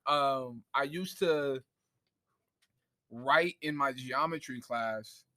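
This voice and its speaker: Male rapper guest, 20s, relaxed storyteller. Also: mid-range voice. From Southern California. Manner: relaxed, laughs easily, drawn-out fillers. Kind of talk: casual storytelling. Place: recording studio.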